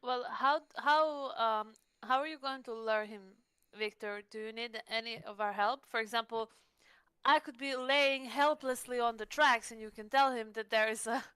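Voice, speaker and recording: A woman's voice: a little high, moderate pace, bright, forceful tone, smooth, dry recording. A woman chatting casually in character as a player in a tabletop game, hesitant and playful.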